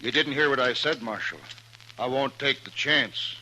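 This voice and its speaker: A man's voice: medium pitch, moderate pace, natural voice. A man playing a blunt, hot-tempered, stubborn Western rancher father in a 1950s radio western. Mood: angry, stubborn. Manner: blunt, forceful, folksy Western speech. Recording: noisy old 1950s radio recording.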